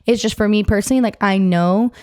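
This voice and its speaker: A woman's voice: mid-range voice, fast talker, dark tone, smooth. A young woman co-hosting a laid-back podcast, with a mid-range voice. She talks emphatically and with lots of energy, leaning on fillers like "like" as she goes. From United States. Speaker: podcast co-host. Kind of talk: casual chat.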